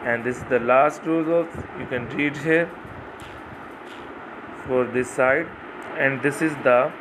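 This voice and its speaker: A man narrating a screen-recorded tutorial, talking haltingly and repeating "you can see here". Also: mid-range voice, background noise. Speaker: crypto site walkthrough narrator.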